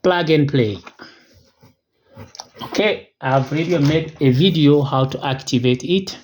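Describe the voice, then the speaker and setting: Slow talker doing a casual unboxing, short simple phrases, noisy with clicks and crinkly packaging. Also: mid-range voice, a little mellow. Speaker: product unboxing host.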